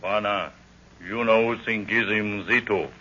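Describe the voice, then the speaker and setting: Deep, slow loyal warrior servant. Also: male voice, bright, forceful tone. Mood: earnest, loyal. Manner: stylized broken English, blunt short phrases. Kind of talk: 1930s radio serial acting. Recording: muffled, noisy 1930s radio recording.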